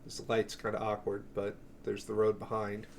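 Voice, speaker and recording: A man's voice: lowish voice, monotone, normal speed, creaky. Casual man rambling over background noise. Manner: offhand, profane, self-interrupting. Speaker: music collector presenting a cassette collection. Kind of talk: casual rambling show-and-tell.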